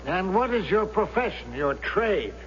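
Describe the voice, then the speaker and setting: Relentless prosecutor in an echoing courtroom. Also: male voice, high-pitched, sing-song, unhurried, fairly bright tone, full character voice. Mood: stern, accusing. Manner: formal, pressing, accusatory. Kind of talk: 1970s radio drama acting. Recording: muffled echoing old radio, hiss and hum.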